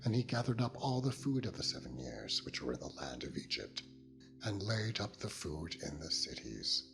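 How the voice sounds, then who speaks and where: A man narrating a dramatized Bible reading in a solemn, measured and dramatic manner. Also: low voice, sing-song, vocal fry. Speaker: scripture narrator. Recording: loud music under the voice.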